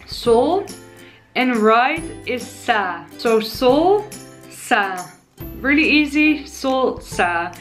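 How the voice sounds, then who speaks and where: A woman in her twenties with a Dutch accent and a fairly high voice speaks in a chatty, friendly way. She sits on a sofa in her living room, facing the camera, and slows down to spell out new words. Soft music plays faintly behind her. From Netherlands. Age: 20s. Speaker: YouTuber teaching words. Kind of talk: casual vlog lesson. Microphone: camera in front, seated.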